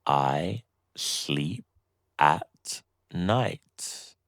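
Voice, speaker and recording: A man reading a slow, scripted beginner English lesson, saying each short sentence twice with deliberate pauses. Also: very deep voice, animated, a bit bright, dry recording, studio quality. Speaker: English teacher.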